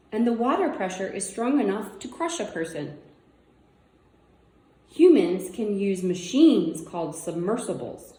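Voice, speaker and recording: Slow-paced woman reading aloud in echoey room. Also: fairly low pitch, warm tone. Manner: simple child-directed phrasing, wondering aloud. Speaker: read-aloud presenter. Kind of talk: reading a children's nonfiction book aloud with casual asides. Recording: roomy, echoing indoor sound.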